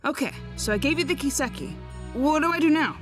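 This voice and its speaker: A woman's voice: high-pitched, animated, brisk, music in the background. A woman voicing an upbeat, boyish young son in an English anime game dub. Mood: casual.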